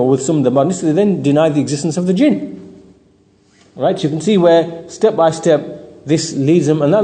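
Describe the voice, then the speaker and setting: A man's voice: on the high side, mellow. A man giving an explanatory religious lecture as a teacher, didactic, repeating phrases for emphasis and checking in with the listener. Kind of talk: explanatory Islamic lecture, partly off the cuff. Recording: long hall-like reverb.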